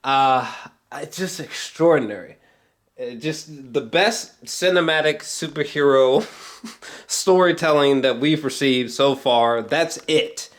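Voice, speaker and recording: A man talking off the cuff in a casual film review vlog, excitable and emphatic, rambling, repeating himself and swearing often. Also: medium pitch. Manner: rambling, halting, frequent swearing. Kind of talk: unscripted, casual movie reaction talk.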